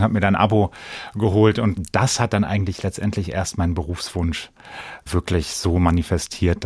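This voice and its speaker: A man's voice: fairly low pitch, a little mellow, no room sound. A man from Germany, an actor, telling personal stories as an interview guest in a conversational way. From Bensheim, Hesse, Germany.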